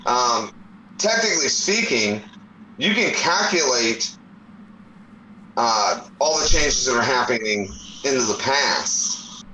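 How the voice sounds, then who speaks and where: A man's voice: medium pitch, expressive, slow, long pauses, bright, forceful tone, a little hiss or hum. A man in his forties in a casual debate chat, explaining his points at length. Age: 40s. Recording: roomy echo.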